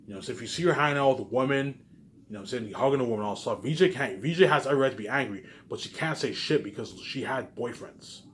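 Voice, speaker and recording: A man in an unscripted, repetitive and halting monologue to viewers, sounding aggrieved and letting phrases trail off quietly. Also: medium pitch, a little hiss or hum. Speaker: online vlogger.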